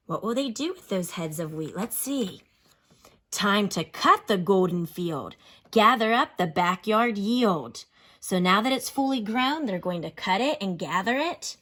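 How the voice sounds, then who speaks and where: Woman, elementary teacher, mid-range voice, expressive storybook reading, instructive asides, questioning. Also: mid-range voice. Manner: instructive, explains words and pauses to pose questions. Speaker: elementary school teacher reading a picture book aloud.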